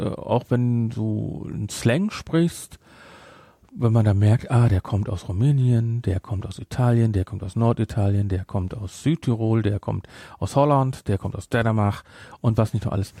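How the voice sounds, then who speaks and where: A man's voice: medium pitch, creaky, dark tone, no echo, studio quality. A man speaking as a podcast host, discussing a film casually and emphatically, repeating his points to drive them home.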